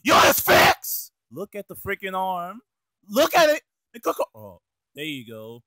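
A man's voice: sits high, huge pitch swings, a little slow, bright, forceful tone, no echo, heavily acted. A man voicing a streetwise, exasperated cartoon mouse, slangy, cursing and scolding, in an amateur comedic fandub. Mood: impatient, helpful.

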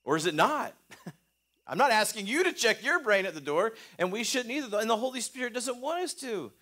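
A man's voice: very high-pitched, lively intonation, moderate pace, sharp tone. A middle-aged man preaching a sermon from a lectern in a small church, earnest and gesturing, with many restarts and fillers. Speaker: pastor.

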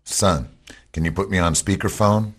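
A stern captain played by a man commands a covert team in a radio drama. His voice is extremely deep and rough-edged. He speaks in terse, firm orders. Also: normal speed. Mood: firm, commanding.

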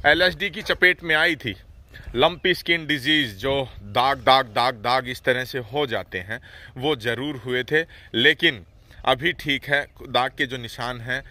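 A man hosting a farm video, explaining the animals outdoors in a steady, explanatory way. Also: mid-range voice, measured pace. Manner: steady explanatory, frequent "haan haan" backchannels. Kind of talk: on-site explanatory commentary.

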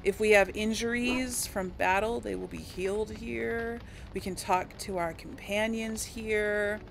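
A woman gives informal video game commentary in a mid-pitched voice. She chats casually to her viewers as she plays. Faint game ambience sits behind her. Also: fairly even intonation, unhurried, creaky, slight background noise. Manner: chatty, informal. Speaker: gaming video host. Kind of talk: casual let's play commentary with role-played dialogue.

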